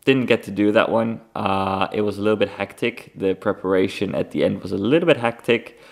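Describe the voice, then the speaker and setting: A young Dutch man hosts a casual solo podcast in a lowish, creaky voice. His English has a Dutch accent. He talks informally and rambles along as he gives an update. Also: a little fast. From Netherlands. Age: young adult. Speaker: podcast host.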